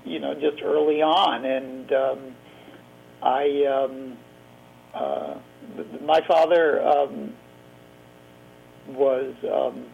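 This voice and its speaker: A middle-aged man giving reflective interview answers in a halting, rambling, self-deprecating way. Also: mid-range voice, steady tone, creaky, slightly rough, muffled recording. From grew up in Geneva, Switzerland. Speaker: interview guest, documentary filmmaker.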